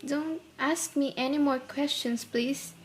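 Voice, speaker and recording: A woman's voice: high voice, steady tone, takes it slowly. An actress playing a tender, secretive, wistful lady in a radio play. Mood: pleading, guilty.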